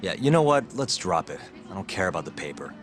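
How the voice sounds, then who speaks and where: Stubborn, cool male detective, game drama, very low voice, wry and terse, clear music underneath. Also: very animated, fast. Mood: irritated, incredulous. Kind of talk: English video game dub acting.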